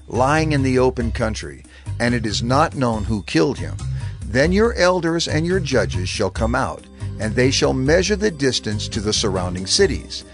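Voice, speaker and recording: Measured male scripture narrator over music. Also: mid-range voice. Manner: measured, formal.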